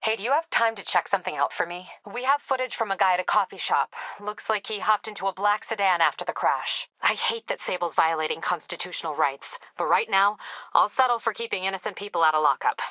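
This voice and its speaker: Woman acting a crisp, bright-voiced no-nonsense police captain. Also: medium pitch, quick pace, no echo, close to an everyday voice, poor audio. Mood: businesslike, stressed. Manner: clipped, authoritative, dry. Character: tough, overworked, no-nonsense female police captain. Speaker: video game voice actor. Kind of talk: dramatic video game dialogue.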